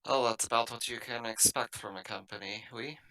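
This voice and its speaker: A man's voice: medium pitch, steady tone, fairly quick, bright, forceful tone, low-quality recording. A man playing a wary, brash female mercenary in a sci-fi tabletop game, talking bluntly and casually. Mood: dry, polite.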